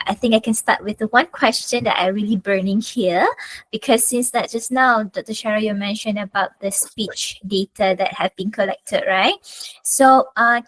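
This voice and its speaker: A woman's voice: mid-range voice, fairly bright tone, no echo, low-quality recording. A woman from Malaysia, not a native English speaker, politely moderating a Q and A over a video call. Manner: polite, halting. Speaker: webinar moderator.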